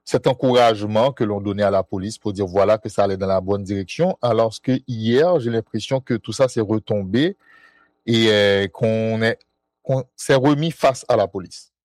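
A man hosting a discussion, speaking in a measured way and asking probing questions. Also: on the low side, a little mellow, dry recording.

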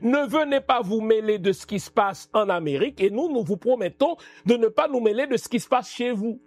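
Male geopolitical commentator, high voice, didactic and rhetorical delivery with big pitch swings. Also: lively intonation, a little fast. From Haiti. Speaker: political commentator.

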